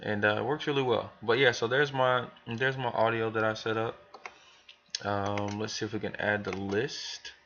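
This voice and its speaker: Low-voiced man, dull lo-fi recording. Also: flat delivery, normal speed, fairly bright tone. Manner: informal, rambling, thinking aloud. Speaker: business trainer demonstrating software to new hires. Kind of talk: casual screen tutorial, talking through steps.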